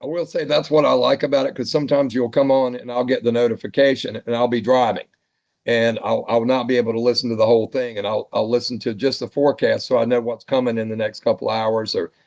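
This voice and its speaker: A man's voice: mid-range voice, flat delivery, fast, gravelly, a little mellow. A man chatting casually as the host of a radio net. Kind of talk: casual chat. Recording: dull band-limited ham radio audio.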